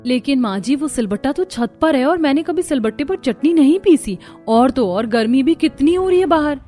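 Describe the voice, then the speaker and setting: Woman acting a young newlywed, fairly high, polite, expressive, cartoon drama, quiet music behind. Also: normal speed. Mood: uneasy, complaining. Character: young newlywed daughter-in-law, fussy about heat. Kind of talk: Hindi cartoon story voice acting.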